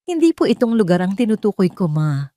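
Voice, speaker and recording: A woman's voice: medium pitch, big pitch swings, a little fast, warm tone, no echo, pitched above the performer's own voice, lightly acted. A devoted grown Filipino son voiced by a woman in an audio story. He speaks tenderly and respectfully, with grateful emotion. The recording is close and dry. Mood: reflective, tender.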